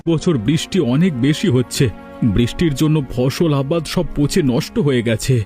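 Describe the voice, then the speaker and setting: A man's voice: on the high side, normal speed, mellow, soft background music, close to an everyday voice. A man voicing a poor, downcast village father in a cartoon. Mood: worried. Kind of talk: cartoon voice acting.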